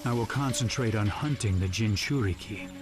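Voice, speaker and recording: An actor playing a cool, calculating villain in a video game dub, formal and detached in manner. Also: male voice, on the low side, moderate pace, lightly acted. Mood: calm, businesslike. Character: cool, calculating male villain, polite and composed. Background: dramatic game music.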